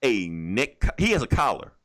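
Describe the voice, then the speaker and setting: A man's voice: mid-range voice, huge pitch swings, normal speed, fairly bright tone. A man co-hosting a casual podcast about comics and movies, talking in an emphatic, opinionated way with plenty of swearing. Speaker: podcast co-host.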